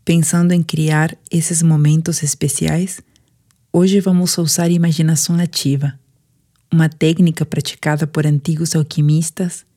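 A Brazilian woman narrating a scripted guided sleep meditation in a soothing, gentle, unhurried manner. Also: deep voice.

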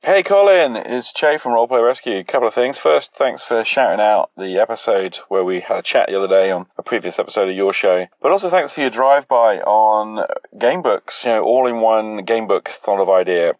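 A man's voice: fairly low pitch, brisk, hardly any pauses, dry recording. A man leaving a casual voice message on a dull, lo-fi recording. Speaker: podcast call-in listener.

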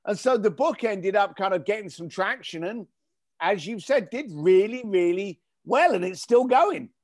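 A high-pitched man speaks as an interview guest, telling his story with quoted back-and-forths. He stresses points by repeating them. His pace is conversational. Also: expressive, moderate pace, a little mellow. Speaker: guest, author and business owner. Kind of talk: casual interview.

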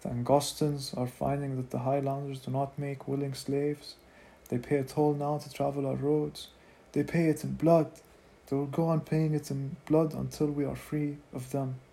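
A man's voice: mid-range voice, little pitch movement, moderate pace, creaky, hardly any pauses, warm tone, natural voice. A man voicing a rakish, charismatic male rebel leader giving a declamatory rallying speech. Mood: rousing.

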